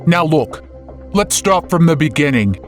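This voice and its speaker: A man's voice: fairly high pitch, expressive, measured pace, soft background music, voice pushed down. A man playing a sceptical police captain, blunt and slangy, like an old radio detective. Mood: sceptical questioning.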